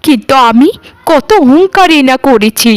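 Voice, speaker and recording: A proud, scornful rich lady barks orders at her servants in a high, piercing voice, as a woman acts her out. She looks down on the poor and makes it known in every word of this cartoon. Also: animated, a little slow, no echo. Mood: remorseful. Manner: scornful, commanding. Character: haughty rich mistress, later sick and humbled. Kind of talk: cartoon voice acting.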